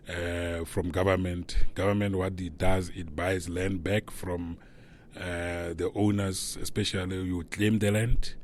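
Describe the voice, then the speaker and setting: A man with a very deep voice answers interview questions as a community leader. He speaks haltingly, stopping often and filling gaps with hesitations. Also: a little mellow, a little hiss or hum. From South Africa. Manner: halting, with many pauses and fillers.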